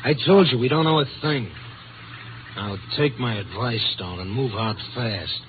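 An actor playing a gruff, wary Chicago patrol cop who barks curt orders in a 1950s radio crime drama. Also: male voice, medium pitch, a little slow, lightly acted. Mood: tense, warning. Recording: noisy, narrow-band old radio recording.

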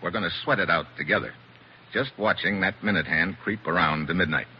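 Low-voiced, hard-boiled American agent, old radio. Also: male voice, fairly quick. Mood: cool accusing. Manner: hard-boiled, terse, wry. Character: tough, wry American special agent, coolly commanding. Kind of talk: 1940s radio drama acting. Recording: muffled, hissy 1940s radio recording.

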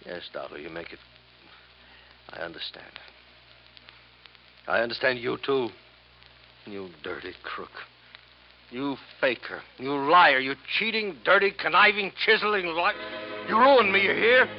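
An actor playing a crooked, cornered merchant in 1950s radio drama style, stammering and repeating himself. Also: male voice, medium pitch, lively intonation, unhurried, long pauses. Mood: bitter fury. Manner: stammering, pleading, repetitive. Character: crooked, cornered merchant, wheedling then explosively bitter. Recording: muffled, noisy 1950s radio recording.

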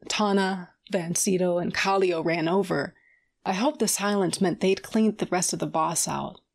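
Tough stubborn space gunslinger lady, lowish voice, wry first person audio drama narration. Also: moderate pace, creaky. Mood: strained, wry.

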